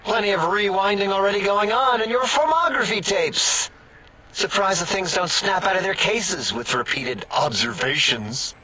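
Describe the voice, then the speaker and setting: A petty, sarcastic robot scientist from a video game, with a highish, bright voice. He is excitable, snide and bickering, over faint background noise. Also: moderate pace, poor audio. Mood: indignant, snide. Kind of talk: video game character acting.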